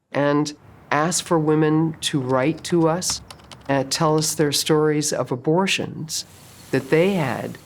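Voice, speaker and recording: An older woman, a playwright and director, telling her story in an earnest, reflective way in a documentary interview. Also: deep voice.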